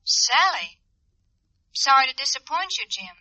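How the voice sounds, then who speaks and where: A wounded, jealous wife in a vintage radio drama. Her voice is high and extremely bright and sharp, every phrase pointed with sarcasm. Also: animated, a little slow, gravelly, full character voice. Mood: hurt, sarcastic. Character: hurt, jealous young wife on the telephone. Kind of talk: 1950s radio drama acting.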